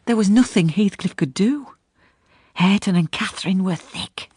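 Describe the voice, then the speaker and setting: An actress playing a level-headed housekeeper who narrates a gothic radio drama in a measured, grave, reflective way. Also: female voice, medium pitch, a little mellow, slight background noise, close to an everyday voice.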